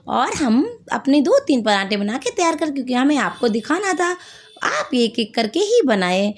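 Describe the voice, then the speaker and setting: A woman hosting a home cooking video, hoarse from a sore throat, chatting to viewers as she explains each step. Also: high-pitched. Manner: chatty, addresses viewers directly. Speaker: cooking channel host.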